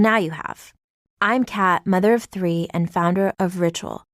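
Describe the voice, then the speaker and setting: A woman's voice: mid-range voice, darkish tone, dry recording, clean studio sound. A woman founder reading a scripted ad, earnest and persuasive. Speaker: company founder in an ad. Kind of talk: scripted ad read.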